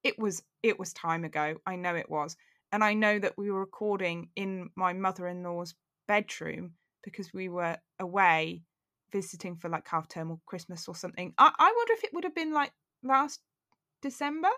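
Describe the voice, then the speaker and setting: A woman's voice: fairly low pitch, animated, normal speed, pushed and bright, dry recording, clean studio sound. A woman co-hosting a podcast, chatting in a rambling, reflective way. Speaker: podcast co-host. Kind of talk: casual podcast chat.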